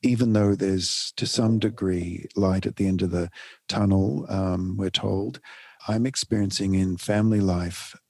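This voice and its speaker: A middle-aged man from the United States, a family counselor, speaking thoughtfully in a podcast interview with many pauses and restarts. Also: sits low, steady tone, gravelly, a little mellow, no echo, clean studio sound.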